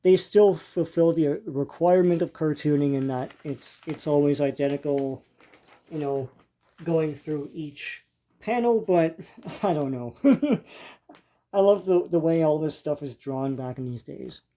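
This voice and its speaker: An older man giving casual, unscripted show-and-tell commentary as a host, in a halting, musing and informal way. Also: a little high, warm tone. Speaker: host leafing through vintage comic strips.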